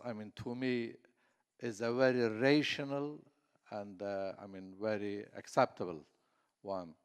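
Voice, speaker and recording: A man's voice: medium pitch, clear, resonant tone. A man from southeast Turkey, speaking on a conference panel with many fillers and restarts. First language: Kurdish. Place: conference hall. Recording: echoey conference hall.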